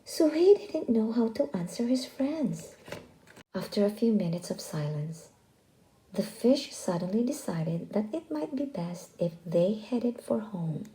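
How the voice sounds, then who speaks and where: A woman reading a children's story aloud as scripted narration, expressive and deliberate in delivery. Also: medium pitch, rough recording.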